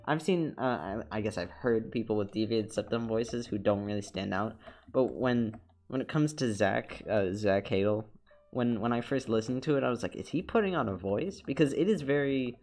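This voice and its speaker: Young male streamer, congested and nasal, rambling casual chat, slightly low voice. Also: darkish tone. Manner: rambling, repeats words and restarts, laughs often.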